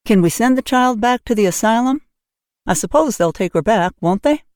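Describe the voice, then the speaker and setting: A woman voicing a stern, practical middle-aged spinster who talks in a brisk, plain, matter-of-fact way. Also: a little high, animated, darkish tone, no echo, studio quality, natural voice.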